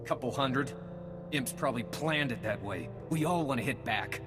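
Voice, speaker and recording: An actor playing a frustrated young soldier in a video game, talking informally and urgently. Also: male voice, medium pitch, expressive, moderate pace, big-room sound, music in the background, close to an everyday voice. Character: frustrated young male Republic soldier pleading for help.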